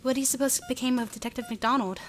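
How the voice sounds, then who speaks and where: A woman playing a friendly, expressive young woman in an amateur radio play. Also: high-pitched, quick pace, close to an everyday voice. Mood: shaky, friendly.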